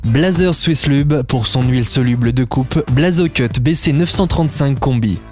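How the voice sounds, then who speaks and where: A man announcing nominees in a slow, even voice-over. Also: mid-range voice. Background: music.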